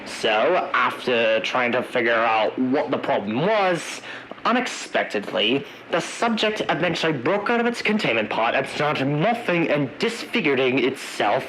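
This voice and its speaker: A man narrating an analog horror emergency briefing in a halting, wordy way, searching for his words. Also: mid-range voice, expressive, a bit bright, a little hiss or hum, rough recording.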